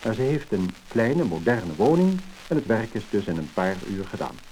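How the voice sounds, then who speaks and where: A faintly crackling mid-century record features a man narrating in Netherlands Dutch. His voice is fairly low, and his scripted delivery is formal and measured. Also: sing-song, a little mellow. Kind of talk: 1950s scripted documentary-style narration.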